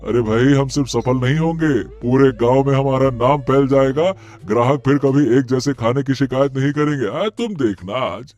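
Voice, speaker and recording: An actor playing a hearty, supportive village farmer in a Hindi cartoon story, speaking emphatically. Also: male voice, medium pitch, moderate pace, hardly any pauses, darkish tone, muffled recording, lightly acted. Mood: confident. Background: background music.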